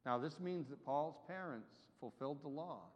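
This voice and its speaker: A man's voice: a little high, expressive, moderate pace. Man preaching in an echoing hall. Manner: emphatic preaching, rising and falling in loudness. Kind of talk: sermon. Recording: dull reverberant hall recording.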